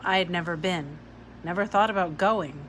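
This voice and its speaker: A woman's voice: fairly low pitch, normal speed, fairly bright tone. A woman reading a children's novel aloud as scripted narration. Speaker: audiobook-style reader. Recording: clear background noise.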